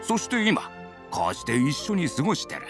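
A man playing a sentimental elderly village husband in an anime game, gently reminiscing. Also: a little high, wildly swooping pitch, moderate pace, gravelly, music in the background, heavily acted. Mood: fond, nostalgic. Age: older. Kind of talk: Japanese anime game voice acting.

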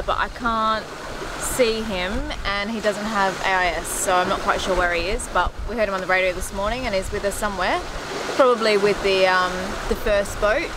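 A woman in her thirties chatting candidly and casually to camera as a sailing vlogger. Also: mid-range voice. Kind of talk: casual vlog talk to camera. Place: outdoors in the cockpit of a sailing yacht at sea. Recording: loud sea and boat noise.

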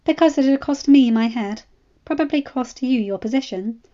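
A woman voicing a sharp, bold noblewoman with a blunt, self-assured manner. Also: sits high, normal speed, muffled recording, voice pushed up, natural voice. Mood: blunt, confident.

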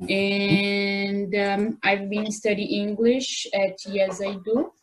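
Very slow young student. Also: female voice, mid-range voice, level tone, rough recording. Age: 20s. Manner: careful, hesitant with fillers. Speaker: English student. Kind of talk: self-introduction in class. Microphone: video call.

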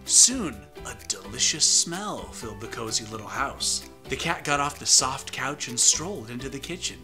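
A man's voice: mid-range voice, animated, moderate pace, over music. A man in his thirties, reading a children's story as a co-host with comic banter. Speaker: co-host and story reader, comedian. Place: small room, indoors, seated on a sofa.

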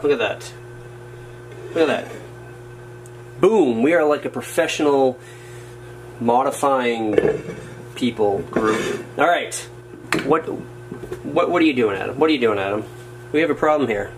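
A man of middle pitch chats his way through a build at a wooden workbench, muttering half to himself and poking fun at his own slip-ups. His talk is loose and unscripted, with a faint hiss of noise under it. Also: measured pace, long pauses. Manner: chatty, muttering to himself as he works, self-mocking asides. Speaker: hobbyist showing a workshop project. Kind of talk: casual how-to narration while working. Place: indoors at a wooden workbench or floor. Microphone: camera framed on his hands over the work.

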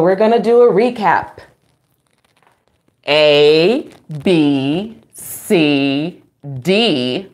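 A middle-aged woman hosting a storytime, reading aloud to young children with exclamations, sing-song emphasis and questions to her listeners. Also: lowish voice. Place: small room at home, indoors.

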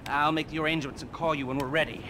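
An actor playing a nervy, sarcastic middle-aged heist planner in a video game, explaining in a halting, excitable way. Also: male voice, fairly high pitch, noisy background, lightly acted. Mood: businesslike, wry. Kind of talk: video game cutscene acting.

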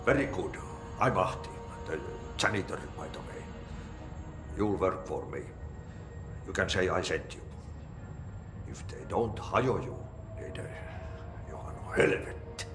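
An actor playing an eccentric older Finnish janitor in a video game, with folksy, cryptic talk and a Finnish accent. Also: male voice, deep voice, animated, a little slow, long pauses, roomy sound, over music. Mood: welcoming, cryptic. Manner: folksy idioms, muttered Finnish curses. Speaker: janitor character in a supernatural action game. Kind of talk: video game cutscene acting.

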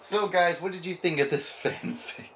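A man's voice: high-pitched, moderate pace, a crowd in the background. A man hosting a casual group fan fiction reading, talking and narrating on a computer mic. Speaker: host. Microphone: computer microphone on group voice call. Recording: muffled computer mic with background noise.

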